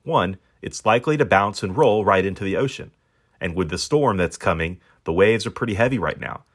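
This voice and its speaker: A man's voice: low-pitched, lively intonation, fairly quick. A man voicing a blunt, practical, slightly condescending seagull in a children's storybook reading. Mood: matter-of-fact. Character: cocky, matter-of-fact male seagull offering help.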